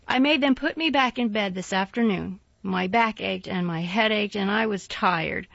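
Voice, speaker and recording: A woman's voice: medium pitch, normal speed, creaky, a bit bright, no room sound. A woman voicing a sickly, spoiled young boy in a storybook reading, speaking in a petulant, demanding way. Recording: dull, dry, lo-fi sound.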